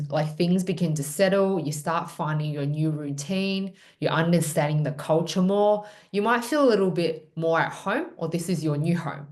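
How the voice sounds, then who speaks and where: A woman's voice: sits low, a little mellow. A woman presenting a student orientation webinar, explaining in a reassuring way with the odd filler. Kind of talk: pre-departure orientation webinar talk.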